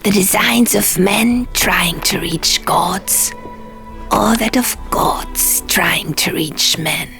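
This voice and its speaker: Woman voicing a creaky, musing stone gargoyle. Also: mid-range voice, takes it slowly, bright, forceful tone, faint music behind, voice pushed up, full character voice. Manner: pondering, ornate rhetorical questions. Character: bat-like stone gargoyle, musing, contrary, absent-minded male guardian. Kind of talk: audiobook character voice.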